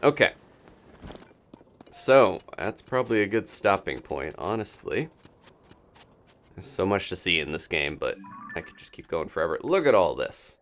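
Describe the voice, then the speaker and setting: Low-voiced, animated male game streamer. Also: normal speed, pauses often, slight background noise. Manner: rambling, thinking aloud. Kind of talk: casual gameplay commentary.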